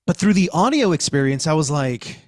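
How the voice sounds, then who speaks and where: Animated, higher-voiced American male podcast host. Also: a little fast, no room sound, studio quality. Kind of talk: scripted podcast presenting and discussion.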